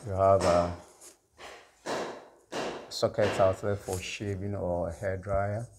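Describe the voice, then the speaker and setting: A man giving a casual walk-through as an electrician, halting as he lists items, on a handheld recording inside an unfinished house. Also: low-pitched, flat delivery. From Ghana. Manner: halting, itemising. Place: indoors, unfinished house with bare walls. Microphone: handheld phone or camera. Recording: noisy handheld recording in bare room.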